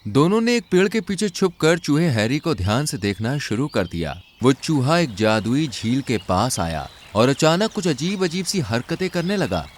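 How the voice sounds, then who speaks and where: A man's voice: medium pitch, sing-song, moderate pace, few pauses. A man narrating a scripted children's story.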